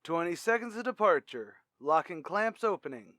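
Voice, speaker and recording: A man acting a brisk, authoritative space fleet commander in a retro radio drama. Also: high voice, expressive, very noisy. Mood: clipped countdown. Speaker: announcer and actor in an audio drama.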